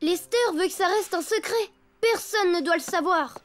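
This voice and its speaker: An actress voicing an eager, anxious young child in a children's cartoon, with an earnest, childlike delivery. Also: female voice, extremely high pitch, normal speed, slight background noise. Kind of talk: French children's cartoon voice acting.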